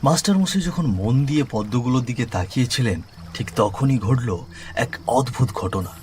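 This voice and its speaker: A man's voice: mid-range voice, animated, normal speed, darkish tone, a little hiss or hum. A man giving scripted narration of a horror story. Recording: music behind the voice.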